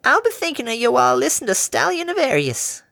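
A man's voice: very high-pitched, huge pitch swings, fast talker, creaky, pushed and bright, no echo, studio quality, voice pushed up, heavily acted. A man voicing an elderly farm mare with a sing-songy, giggly old-lady drawl. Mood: chirpy. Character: chirpy elderly farm mare, sweet and doting.

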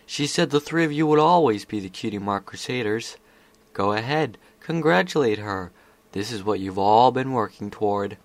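Insinuating male spirit for a story, creaky voice, needling manner man narrating in character. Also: on the low side, expressive, moderate pace, dry recording. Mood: coaxing. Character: sly, insinuating male spirit, whispering tempter.

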